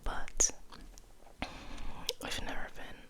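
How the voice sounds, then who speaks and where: Whispering woman, slow ASMR ramble. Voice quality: breathy unvoiced whisper. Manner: intimate, slow, softly rambling. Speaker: ASMR video host. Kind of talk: ASMR, casual rambling to the viewer.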